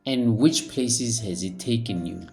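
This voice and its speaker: A man's voice: fairly low pitch, a little slow, gravelly, darkish tone, faint music behind. A man hosting a podcast interview, introducing his guest and putting questions to him. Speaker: podcast host.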